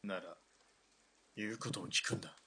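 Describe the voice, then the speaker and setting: A man plays a commanding army officer in a radio drama, his voice lowish with a bright, forceful edge. It is husky, and his orders come out curt and final. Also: fairly even intonation, normal speed, exaggerated voice. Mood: stern. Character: stern Japanese army lieutenant colonel. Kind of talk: hobby radio drama acting.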